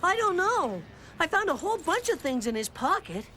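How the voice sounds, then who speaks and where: A woman's voice: extremely high pitch, very animated, normal speed, noisy background. A woman voicing a cocky young boy who plays detective in a children's cartoon, with a boastful, exclamatory way of talking. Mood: confident. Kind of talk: animated children's cartoon acting. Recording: music under the dialogue.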